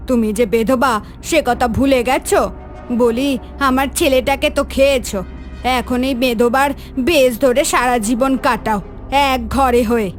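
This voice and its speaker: A woman's voice: high voice, fairly even intonation, a little slow, soft background music. A woman voicing a harsh, bitter older mother-in-law in a cartoon, accusing and scornful. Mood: bitter, scolding. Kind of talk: melodramatic cartoon voice acting.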